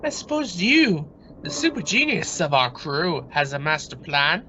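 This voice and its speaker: A man playing an excitable, sarcastic astronaut in an amateur radio play, animated and exasperated. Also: a little high, unhurried. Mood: alarmed sarcasm. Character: excitable, sarcastic astronaut crew member Lee. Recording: dull, noisy home-made radio play recording.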